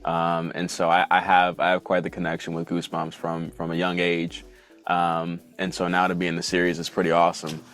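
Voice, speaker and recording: Man, actor, lowish voice, casual interview chat, soft music in the background. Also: monotone, fairly quick.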